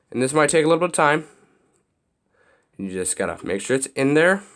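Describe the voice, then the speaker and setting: A young man with a slightly raspy voice, casually demonstrating a build step by step, rambling with restarts and fillers. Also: mid-range voice. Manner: loose, rambling explanations with many restarts and fillers. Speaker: hobby video host.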